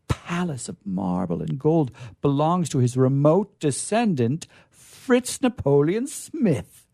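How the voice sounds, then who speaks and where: A man reads vintage science fiction aloud with a formal, oratorical air. His voice is dark and relaxed with a gravelly creak, recorded close and dry. He phrases things theatrically, pausing for emphasis and stretching key words. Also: mid-range voice, no echo. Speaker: reader at a literature reading. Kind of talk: dramatic reading of a vintage science fiction story.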